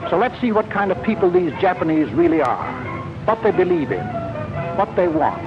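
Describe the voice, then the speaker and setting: American guy doing 1940s war film narration, kinda high pitched, serious and measured, loud music behind. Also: animated, dull audio. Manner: grave, authoritative, measured delivery. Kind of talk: 1940s wartime propaganda film narration, scripted. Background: loud film score.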